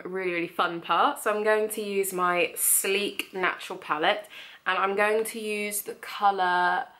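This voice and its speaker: A woman's voice: mid-range voice, a bit bright. Young woman, beauty vlogger, mild cold, nasal, casual tutorial chat. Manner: chatty, friendly, lots of ums. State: has a cold, slightly nasal.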